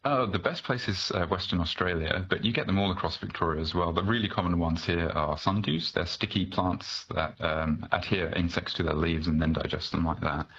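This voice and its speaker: A man's voice: low-pitched, a little fast, few pauses, a bit bright. A man from Malaysia speaking as an expert guest, explaining things articulately and conversationally in an interview. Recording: dull, noisy remote guest line.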